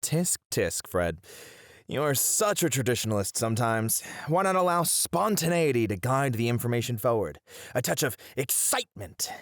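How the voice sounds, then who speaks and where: A man's voice: medium pitch, very animated, normal speed, no echo, clean studio sound. A man acting in an ASMR roleplay as a playful educator with theatrical flair, bantering and flirty in his delivery. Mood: teasing, playful.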